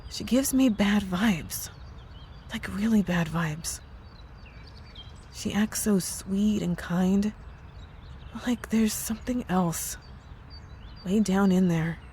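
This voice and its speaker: A woman's voice: medium pitch, slow, pauses often, warm tone, a little hiss or hum, lightly acted. A woman playing a concerned coworker in an ASMR roleplay, speaking earnestly, hesitantly and with care. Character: worried, caring married female coworker, hesitant and earnest. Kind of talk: ASMR roleplay audio drama.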